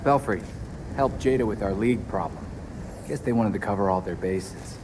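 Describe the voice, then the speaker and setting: An actor playing a young, friendly, dryly joking masked vigilante in a video game cutscene. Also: male voice, on the low side, moderate pace, background noise, lightly acted. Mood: friendly concern.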